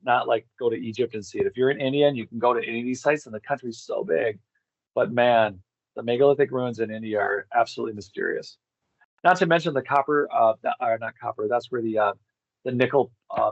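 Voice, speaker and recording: A man chatting casually as an interview guest, halting and informal, often repeating words for emphasis. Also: mid-range voice, no room sound, dull audio. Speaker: interview guest, tour organizer. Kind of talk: casual rambling conversation.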